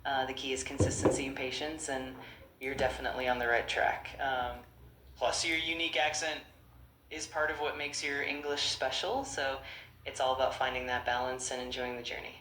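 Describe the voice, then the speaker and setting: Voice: mid-range voice, flat delivery, moderate pace, bright, forceful tone, reverberant, slight background noise. An AI conversation partner giving upbeat, reassuring, polished replies in encouraging chatbot style.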